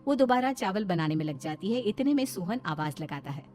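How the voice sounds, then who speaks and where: A woman narrator with a mid-pitched voice reads a scripted moral story. It is told as cartoon storytelling, with music playing behind her. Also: expressive, a little fast, darkish tone.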